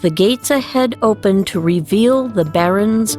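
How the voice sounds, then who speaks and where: A woman's voice: medium pitch, measured pace, over music. A woman reading scripted narration for a fantasy audiobook.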